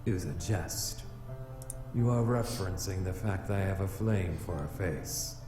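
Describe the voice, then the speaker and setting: A man's voice: sits low, measured pace. A man voicing a proud, formal flame demon in a visual novel, stiff and archaic in his diction and flustered by love. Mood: haughty, defensive. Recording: echoey, music behind.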